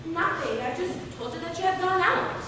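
A young actress as a high-pitched, feisty ex-girlfriend, echoey stage. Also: expressive, fairly quick. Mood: evasive. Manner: theatrical, sardonic, projecting to a hall. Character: feisty, sarcastic ex-girlfriend of a bickering man. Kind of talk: amateur stage comedy-drama acting. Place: indoor stage before a small audience. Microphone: far camera in the audience. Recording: echoey school stage, distant camera, noisy.

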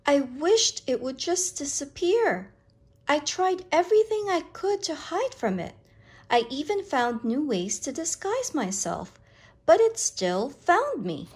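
A woman reading a picture book aloud to children, with expressive, deliberate, dramatic phrasing. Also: high-pitched.

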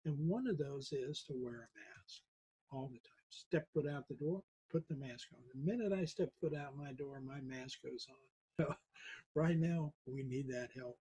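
Older guy in his 70s pleading from his living room on a webcam, raspy and kinda dark sounding. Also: mid-range voice, moderate pace, low-quality recording. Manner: pleading, lecturing, repeats points for emphasis. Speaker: podcast host. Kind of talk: earnest direct-to-camera appeal. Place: home living room, indoors.

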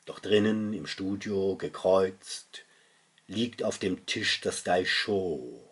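A middle-aged man reciting his own literary poetry in a solemn, dramatic and deliberate manner. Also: low-pitched, slightly rough. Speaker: poet reading own work.

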